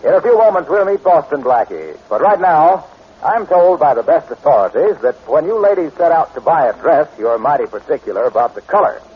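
A man performing as a chatty, polished 1940s radio announcer and sponsor pitchman. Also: very animated, fairly quick, over music. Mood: chatty, promotional. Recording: dull 1940s radio recording.

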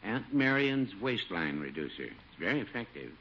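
A man's voice: lowish voice, sing-song, moderate pace. An actor playing a mild, folksy small-town druggist with droll salesman patter in 1950s radio comedy. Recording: dull, noisy old radio with audience.

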